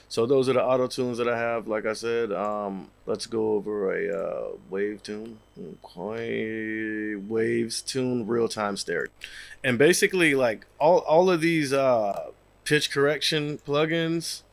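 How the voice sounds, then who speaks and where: A man in his thirties with a slightly raspy, worn voice, casually explaining software in a home studio over a headset mic, with lots of fillers. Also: medium pitch, a little slow, no echo. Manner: relaxed, rambling, many fillers. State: losing his voice, a bit raspy. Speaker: music producer. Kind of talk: casual screen-share tutorial.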